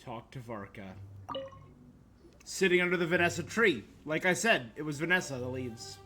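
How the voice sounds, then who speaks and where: A man's voice: on the high side, expressive, normal speed, pushed and bright, soft background music. A man streaming a video game, casually commenting and reading bits of the on-screen dialogue aloud.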